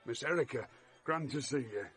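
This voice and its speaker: A man's voice: medium pitch, normal speed, fairly bright tone, faint music behind, close to an everyday voice. A man acting a battered fairground boxer in a vintage radio play. He is weary and long-suffering but friendly, talking in plain folksy phrases.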